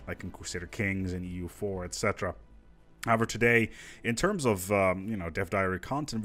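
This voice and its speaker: A man's voice: low voice, a bit bright. A man presenting casual gaming news commentary in an informal, conversational, musing manner.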